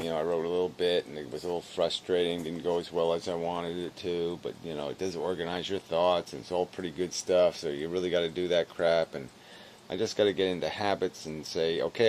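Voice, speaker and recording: A man rambling casually in a weary, low-energy, self-deprecating way, halting and swearing as he talks to camera. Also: a little hiss or hum, rough recording. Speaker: video blogger.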